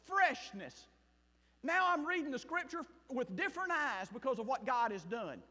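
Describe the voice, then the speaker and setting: A man from South Mississippi with a Southern accent, preaching a sermon and telling his own story as a missionary pastor, shifting between loud bursts and hushed asides. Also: very high voice, sing-song, normal speed. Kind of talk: sermon with personal testimony. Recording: long hall reverb.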